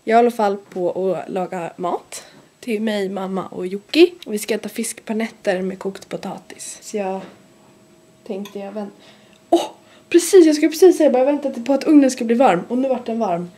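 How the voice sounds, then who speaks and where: A woman's voice: mid-range voice, expressive, mellow. A young woman vlogging casually at home, chatting upbeat and straight to her viewers into a camera held at arm's length. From Sweden. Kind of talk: casual vlog talk. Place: bedroom at home, indoors. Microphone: handheld camera at arm's length.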